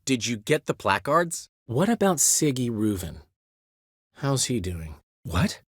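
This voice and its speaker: A man's voice: medium pitch, sing-song, a little slow, slightly rough, no room sound, clean studio sound. An actor playing a flamboyant, vain and witty middle-aged male bard in a video game, teasing and theatrical with a showman's flourish. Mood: wry. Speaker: game character. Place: recording studio. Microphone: close studio mic.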